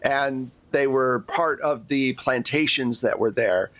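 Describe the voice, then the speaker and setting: A man's voice: mid-range voice, slow pace, fairly bright tone, dry recording. A man narrating a tabletop role-playing game as game master, in a casual, conversational storytelling way.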